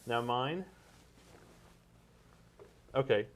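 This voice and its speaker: A man's voice: mid-range voice, animated, extremely slow, a bit bright. An American man co-hosting a podcast and interviewing in a casual, conversational way.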